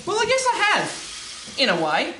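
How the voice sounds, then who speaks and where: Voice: sits high, huge pitch swings, brisk, pushed and bright, full character voice. An actor playing a timid, polite, wistful little man in a live radio play. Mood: meek. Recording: echoey room, clear background noise.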